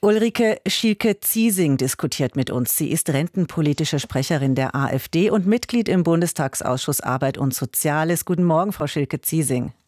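A woman hosting a radio talk show, reading her introduction in a clear, measured way. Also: low-pitched, animated, a little mellow, dry recording, studio quality. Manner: clear, measured, reads numbers slowly. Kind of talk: scripted radio moderation. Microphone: studio microphone.

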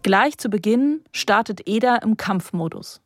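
A woman reporter narrating a courtroom report in a measured, matter-of-fact scripted style. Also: mid-range voice, lively intonation, faint music behind.

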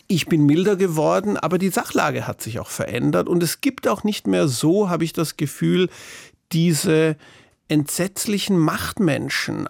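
A man's voice: on the high side, vocal fry, dry recording, studio quality. A middle-aged man speaking as an author in an interview, thoughtful and searching for his words, often restarting. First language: German. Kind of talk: reflective interview talk.

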